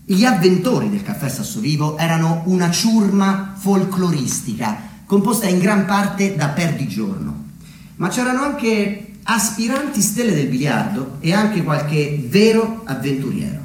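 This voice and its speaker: A man delivering a scripted theatrical monologue as a storyteller, measured, with deliberate dramatic pauses. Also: a little high, very animated. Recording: very echoey room, distant mic, slight noise.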